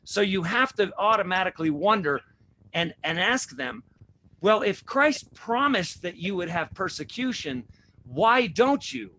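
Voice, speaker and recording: Preachy guy hosting a faith show, highish bright voice, keeps asking rhetorical questions. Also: expressive, unhurried, a little hiss or hum, rough recording. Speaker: host. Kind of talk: religious talk show.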